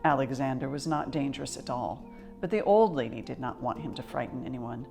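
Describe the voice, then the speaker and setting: A woman storyteller with a low, slightly creaky voice reads a children's picture book aloud. Her delivery is expressive and measured, with faint music behind her.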